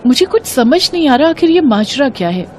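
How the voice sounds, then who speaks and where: Plaintive high-voiced young wife, played by a woman. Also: sing-song, brisk, a little mellow, slight background noise. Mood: hurt, puzzled. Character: young married daughter-in-law, hurt, puzzled and earnest. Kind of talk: Hindi cartoon family drama acting.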